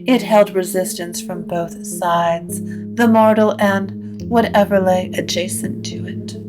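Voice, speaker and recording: Woman narrator, supernatural fiction, slow measured pace, literary storytelling, medium pitch, music behind. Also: steady tone, low-quality recording.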